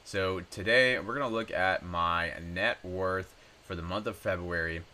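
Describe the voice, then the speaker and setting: A young man in his twenties with a low voice, made raspy by a cold, that still sounds bright and forward. He talks through his numbers in a loose, chatty way, full of ums and small corrections. A faint hiss sits under the recording. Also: measured pace. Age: 20s. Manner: chatty, relaxed, with frequent ums and self-corrections. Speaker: personal finance YouTuber. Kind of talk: casual screen-share walkthrough.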